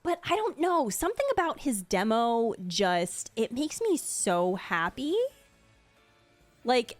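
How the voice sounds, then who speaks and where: A woman hosting in a casual, giggly way, giving enthusiastic reaction commentary as she goes through a ranking. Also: mid-range voice. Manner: gushing, enthusiastic. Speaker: video host and streamer.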